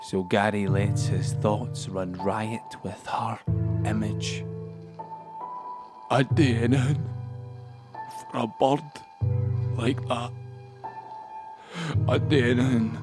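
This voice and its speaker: An actor playing a troubled, lonely young man, narrating an audio play in deliberate, halting phrases. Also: on the low side, fairly even intonation, over music. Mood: tense, yearning. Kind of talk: dramatic audio play monologue.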